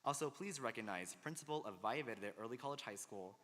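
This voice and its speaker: A man's voice: medium pitch, expressive, quick pace, a bit bright, a faint crowd. A man making formal announcements and introductions as the announcer at a ceremony. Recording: noisy, echoing hall PA.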